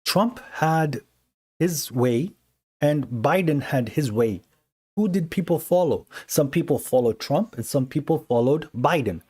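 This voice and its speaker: A man's voice: a little high, lively intonation, a little mellow, slightly rough. Earnest man hosting a livestream debate. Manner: earnest, explanatory, quick to dismiss callers. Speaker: livestream host debating callers. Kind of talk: live call-in religious debate. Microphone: phone livestream.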